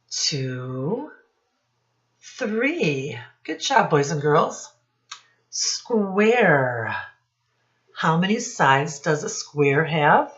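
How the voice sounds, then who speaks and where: A woman teacher speaking deliberately to young children in a read-aloud lesson, addressing them directly with questions. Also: deep voice, a bit bright. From United States. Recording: dull lo-fi sound.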